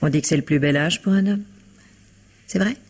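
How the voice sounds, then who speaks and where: A woman's voice: low voice, fairly quick, a little mellow. An actress playing a self-assured, flirtatious society woman in a French mystery radio drama, polished, coquettish and imperious. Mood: coaxing, teasing.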